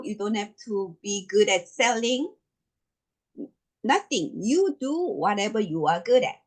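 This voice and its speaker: Middle-aged Korean woman, slow emphatic motivational speaker, lively intonation. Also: on the high side. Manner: emphatic, repetitive, deliberate. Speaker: network marketing presenter. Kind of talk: motivational business presentation.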